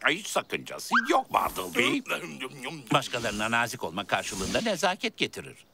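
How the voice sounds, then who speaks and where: A man's voice: mid-range voice, huge pitch swings, moderate pace, gravelly, heavy background noise. A man voicing a kindly, cheerful little rainbow king in a children's cartoon, courteous and grandly drawing out words. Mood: warm, courteous. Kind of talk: animated children's cartoon dubbing.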